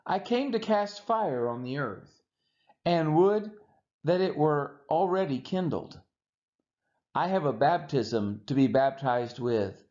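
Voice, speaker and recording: A man reads scripture aloud in an expressive, measured and emphatic way, as a Bible reader for a church read-along. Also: mid-range voice. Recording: dull, muffled lo-fi audio.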